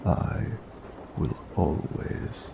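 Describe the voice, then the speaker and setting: A man playing a big, teasing, doting orc blacksmith with a gravelly voice in a romantic audio roleplay. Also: very slow, noisy background. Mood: tender, flirtatious. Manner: playful, flirtatious, frequent chuckling.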